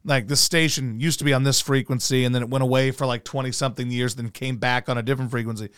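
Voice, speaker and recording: A hoarse man hosting a casual talk show, rambling in a loose, profane way and doing live ad reads. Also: mid-range voice, steady tone, quick pace, a bit bright, no echo, clean studio sound. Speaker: radio host. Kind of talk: casual podcast chat and live ad read.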